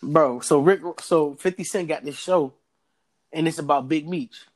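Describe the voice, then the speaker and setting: A young man from Florida co-hosts a casual podcast, his voice sitting a bit higher than most men's. He swears freely and laughs through animated stories. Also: unhurried. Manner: profane, laughing, animated storytelling. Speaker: podcast co-host.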